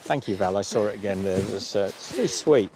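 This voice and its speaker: A man's voice: fairly low pitch, lively intonation, quick pace, background noise. A man from Australia chatting casually on a travel livestream, rambling and correcting himself. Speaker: vlog host.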